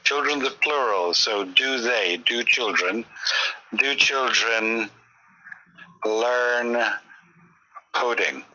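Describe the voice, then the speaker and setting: Irish man, forties, sharp tense voice, patient explainer, casual chat. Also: mid-range voice, slight background noise, rough recording. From Ireland. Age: 40s. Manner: patient, slows down to explain grammar. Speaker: conversation partner.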